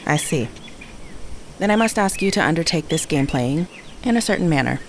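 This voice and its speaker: A woman playing a composed, motherly mentor in an audio drama, with formal, measured and precise speech. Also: sits low, lively intonation, slight background noise. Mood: careful, calculating.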